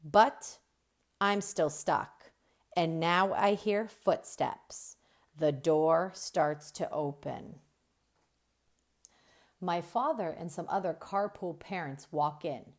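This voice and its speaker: A woman reading a children's book aloud as a storyteller, expressive and deliberate, drawing out key words. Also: fairly low pitch. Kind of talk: expressive read-aloud narration.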